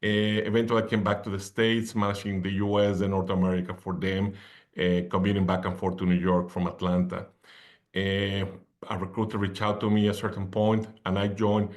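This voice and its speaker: This is a man in his fifties with a Mexican accent, Spanish being his first language. He speaks in a low register, in an unhurried conversational way. He circles back on his own phrases and leans on fillers. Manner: rambling, with many fillers and restarts. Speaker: podcast guest. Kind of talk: conversational interview.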